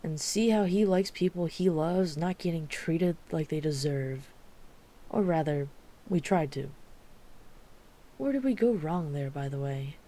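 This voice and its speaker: A woman's voice: low-pitched, expressive, measured pace, mellow. A woman playing a wry, chatty, flirtatious mafia boss with an apologetic streak in an ASMR roleplay. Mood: wry, explaining. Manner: wry, exasperated, chatty, teasing. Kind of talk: ASMR roleplay audio drama.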